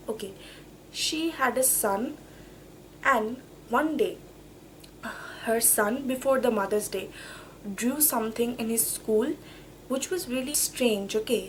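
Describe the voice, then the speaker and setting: A woman's voice: a little high, a little hiss or hum, rough recording. A young woman with a cold, chatting casually as a book vlogger, emphatic and repeating words. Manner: emphatic, repeats words and phrases, says okay often. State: has a cold. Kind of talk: casual vlog chat, book reviews.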